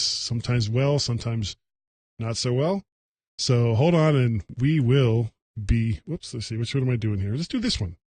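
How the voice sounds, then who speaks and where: A man hosts a tech livecast on his own in a close, dry recording. His pitch sits in the middle of the male range. He talks casually, stopping and restarting in a halting, rambling way, with a self-deprecating streak. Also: darkish tone, dry recording. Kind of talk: casual solo livecast talk.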